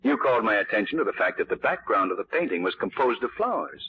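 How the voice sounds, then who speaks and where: A man playing a suave, self-assured gentleman detective in 1940s radio drama, urbane and explanatory. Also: on the low side, very animated, quick pace, slightly rough, exaggerated voice. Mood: amused, explaining. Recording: dull old 1940s radio recording.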